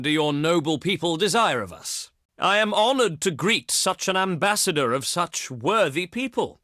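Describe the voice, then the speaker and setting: Ancient Greek diplomat, pompous, eloquent, slow and measured, higher-pitched male voice, theatrical game delivery. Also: pushed and bright, dry recording, clean studio sound. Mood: courtly, welcoming. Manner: pompous, declamatory, measured oratory. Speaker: video game voice actor. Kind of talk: theatrical scripted game voice lines.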